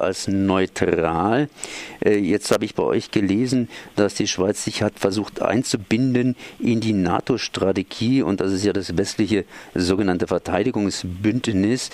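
Man, low voice, radio interviewer, conversational questioning style. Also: darkish tone. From Germany.

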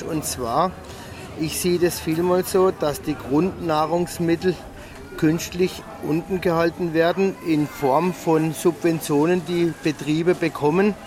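A man's voice: a little high, noisy background. A man, a small farmer answering an interview at an outdoor market. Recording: busy outdoor market chatter.

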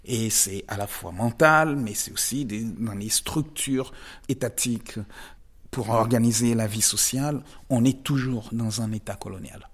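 A man from the DR Congo, a guest artist giving measured, reflective interview answers with frequent pauses and emphatic rises. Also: medium pitch, breathy edge. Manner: measured and thoughtful, pausing often, rising to emphatic stresses.

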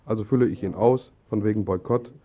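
A man's voice: on the low side, fast. A man presenting on the radio, making announcements and reading scripted narration in a studio. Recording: dull lo-fi 1980s radio recording.

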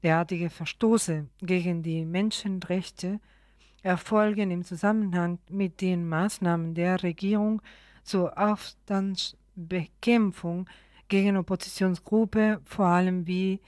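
A woman reads a radio news report at a very slow pace, stumbling and pausing as she goes. Her voice sits fairly low, and the sound is dry with no room echo. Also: steady tone, a little mellow. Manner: halting reading with stumbles and pauses. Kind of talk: read-out human rights news report.

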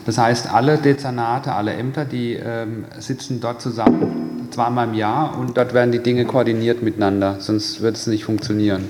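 A man's voice: medium pitch, little pitch movement, normal speed, few pauses, over loud music. A man answering as an official in a committee meeting, explaining things off the cuff. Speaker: city administration official. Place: large indoor meeting room. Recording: echoey meeting hall with clear background noise.

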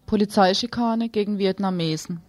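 A woman's voice: medium pitch, moderate pace, darkish tone. A woman presenting and reading the news on a radio programme.

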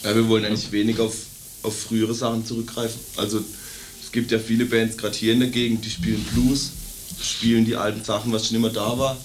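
A man's voice: lowish voice, level tone, fast, a little hiss or hum. A man in his late teens, a band member, chatting casually in an interview.

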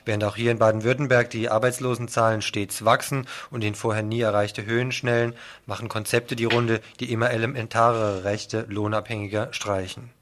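A man's voice: on the low side, little pitch movement, brisk, few pauses, a bit bright. A man presenting scripted news on the radio, reading out headlines and reports as the host.

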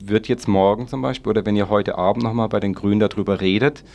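A man's voice: fairly low pitch, steady tone, quick pace, darkish tone, a little hiss or hum. A man interviewing in an unscripted political discussion, putting long, pressing questions. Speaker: radio magazine interviewer.

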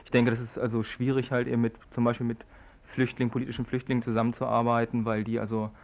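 A young man, a student activist, answering interview questions in a halting, wordy, colloquial way. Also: medium pitch, flat delivery. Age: young adult. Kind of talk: informal spoken interview answers. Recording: dull lo-fi radio recording.

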